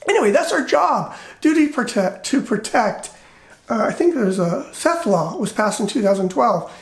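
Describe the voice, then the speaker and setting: A middle-aged American man with a light, effeminate voice, telling personal stories casually in a rambling, animated way and acting out quoted dialogue. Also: very high-pitched, moderate pace. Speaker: retired teacher. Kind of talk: casual personal storytelling and commentary. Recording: roomy, echoey indoor sound.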